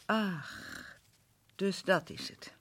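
An actress playing the anxious, guarded wife of a disgraced archaeologist in a radio drama, often speaking in a hushed tone. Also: female voice, fairly low pitch, wildly swooping pitch, very slow, creaky, slightly rough, heavily acted. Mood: defensive. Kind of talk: 1960s radio drama acting.

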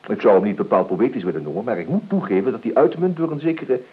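Husky, urbane, ironic nephew. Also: male voice, fairly low pitch, sing-song, fast talker, full character voice. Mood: suave, drily evasive. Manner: hesitant, florid, ironically polite. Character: urbane, evasive nephew, drily ironic and mock-courteous. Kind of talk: Dutch radio play acting. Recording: dull lo-fi radio recording.

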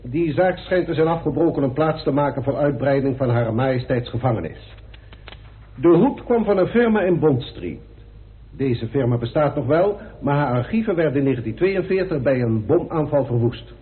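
A man's voice: medium pitch, expressive, moderate pace, natural voice. A man playing a deferential, matter-of-fact police inspector reporting his findings in a radio drama. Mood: factual. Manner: respectful, factual, clipped reporting. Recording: tinny band-limited old radio recording.

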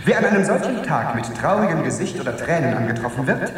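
A man's voice: on the high side, big pitch swings, fast talker. An actor playing an office clerk who dreams he is an imperious director general, in a 1970s radio drama. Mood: grandly jovial. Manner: imperious, pompous, barking orders. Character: man playing a pompous dream tycoon. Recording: echoey hall sound, voice set far from the mic.

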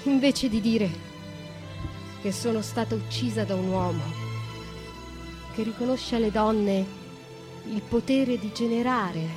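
An actress voicing a young noblewoman in a radio drama, confessing a killing in an intense monologue. Her voice sits at a middling pitch, sinking to a hush and then flaring into impassioned outbursts. Soft music plays faintly behind her. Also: lightly acted. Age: young adult. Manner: intense, shifts from hushed to impassioned.

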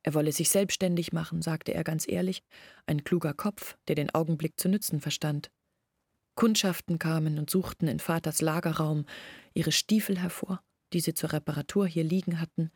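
A troubled young village woman telling her story in a literary radio drama. Her voice sits a little low, with audible fry and a husky grain. The sound is very dry and close. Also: fairly even intonation, moderate pace, darkish tone, dry recording. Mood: grave recollection. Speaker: actress narrating in a literary radio play. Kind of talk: dramatic first-person storytelling in a radio play.

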